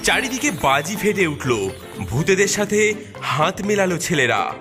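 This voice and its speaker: A man's voice: high-pitched, big pitch swings, a little slow, over music. A man narrating a children's cartoon story in a dramatic storytelling manner.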